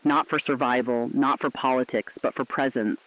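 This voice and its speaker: A man's voice: medium pitch, steady tone, normal speed, a bit bright, no room sound, highs cut off, natural voice. A man playing a mournful but defiant last radio broadcaster, speaking solemn, earnest, poetic lines in a scripted drama. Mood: tender, defiant.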